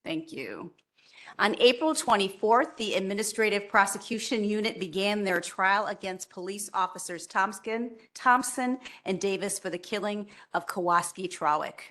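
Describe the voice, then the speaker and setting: A woman chairing a public board meeting, running motions and reading a prepared report in a steady, measured way. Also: medium pitch. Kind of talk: formal meeting remarks.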